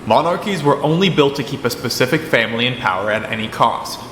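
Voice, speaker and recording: A man's voice: mid-range voice, lively intonation, fast talker, a bit bright, roomy sound, in a large hall, a little hiss or hum, lightly acted. A man playing a combative young debater who is smitten with his rival in a radio drama. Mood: combative, formal.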